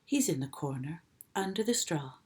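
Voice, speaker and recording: A gentle female spider near her end, speaking quietly, slowly and with calm, tired wisdom, as a woman puts her on. Her voice is hushed and soft. It is a storybook read-aloud. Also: deep voice. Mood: weary calm.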